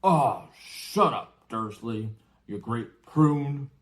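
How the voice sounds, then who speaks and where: A man voicing a huge, gruff, friendly giant gamekeeper from a storybook, hearty and blunt, with rustic colloquial talk. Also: medium pitch, lively intonation, extremely slow. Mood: scornful, barking. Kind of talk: storybook character voice.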